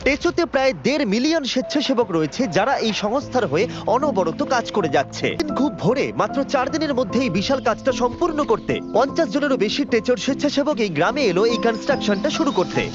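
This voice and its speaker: A man's voice: extremely high pitch, lively intonation, quick pace, few pauses. A man reading a scripted documentary voiceover as the narrator of a dubbed video. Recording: muffled audio under music. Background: music.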